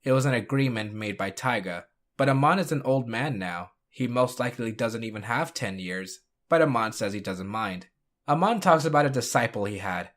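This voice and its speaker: A man's voice: on the low side, a bit bright. A man hosting a retrospective video, reading scripted recap and review narration.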